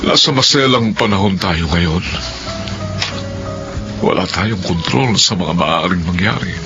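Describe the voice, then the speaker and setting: An actor playing an earnest widowed doctor, giving his testimony in a solemn, measured Filipino radio drama style. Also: deep voice, animated. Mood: solemn, resolute. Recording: dull radio recording under loud music.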